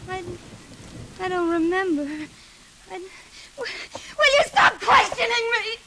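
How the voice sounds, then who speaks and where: A deranged, ailing woman in a vintage radio thriller. Her voice is very high and piercing, and she drags her words out haltingly with bitter menace. The old recording is noisy. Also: animated, bright, forceful tone, heavily acted. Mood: evasive, agitated. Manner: halting, melodramatic, repeats herself. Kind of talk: 1940s radio thriller acting. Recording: hissy old radio recording with background noise.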